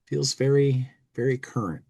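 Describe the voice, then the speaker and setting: A man's voice: medium pitch, normal speed, creaky, mellow, dull audio. A man co-hosting a comedy podcast, commenting, reading aloud and singing, often repeating words as he feels his way along. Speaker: podcast co-host.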